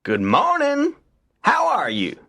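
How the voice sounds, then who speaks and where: Excitable high-pitched storybook rabbit. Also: male voice, no room sound, studio quality, full character voice. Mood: cheery, friendly. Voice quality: high, strained, husky voice. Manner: exaggerated, slow, swooping delivery. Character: friendly young-sounding male rabbit, excitable, easily exasperated. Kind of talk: children's storybook character voice.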